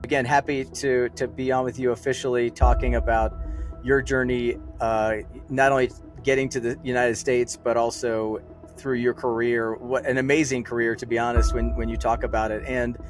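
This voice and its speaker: A man's voice: medium pitch, little pitch movement, normal speed, soft background music. A man hosting an interview podcast, easygoing and loose, with many fillers. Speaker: podcast host. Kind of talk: interview, casual chat.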